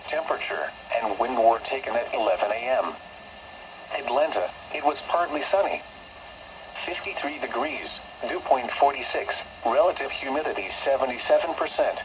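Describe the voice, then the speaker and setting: A synthetic male announcer reads out a weather broadcast, every phrase spaced evenly, with sudden pitch jumps on the numbers. He comes through a muffled radio receiver, and steady static hisses behind him. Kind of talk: automated weather broadcast reading.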